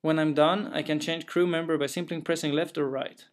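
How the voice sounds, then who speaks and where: A man's voice: a little high, steady tone, brisk. A young man from Sweden with a Swedish accent, a game developer talking through his game in careful, slightly hesitant English. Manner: careful, plain, a little hesitant with fillers. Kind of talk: narrated gameplay walkthrough.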